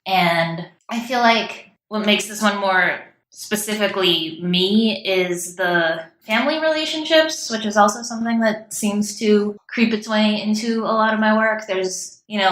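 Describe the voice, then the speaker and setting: A woman's voice: mid-range voice, a bit bright. A woman chatting informally and enthusiastically as a guest playwright in an interview. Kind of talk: casual interview chat. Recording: roomy, echoing sound.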